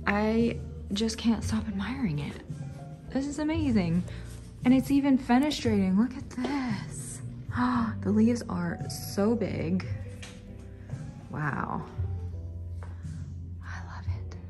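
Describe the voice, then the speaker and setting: A woman's voice: fairly high pitch, lively intonation, slow pace, long pauses. Gushing woman browsing a plant shop. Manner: gushing, enthusiastic, admiring. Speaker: plant vlogger. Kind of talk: casual shop-along narration. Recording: background music under voice.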